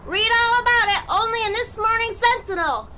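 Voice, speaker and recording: An actor playing a young newsboy hawking papers in a loud sing-song cry, in a 1930s-style radio serial. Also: male voice, very high voice, normal speed, a little hiss or hum, heavily acted. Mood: excited, hawking. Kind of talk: street crier in 1930s radio drama.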